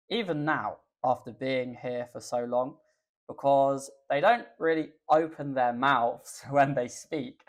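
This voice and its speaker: English man chatting in a relaxed way. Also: on the high side. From England. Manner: relaxed, thoughtful, with filler ums. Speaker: podcast guest, English teacher. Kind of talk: casual interview chat.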